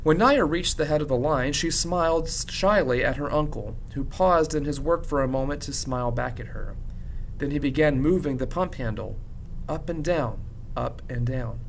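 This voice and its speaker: A man reading a children's novel aloud like a teacher narrating to students, with low rumble behind him. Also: normal speed, low-quality recording. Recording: clear background rumble noise.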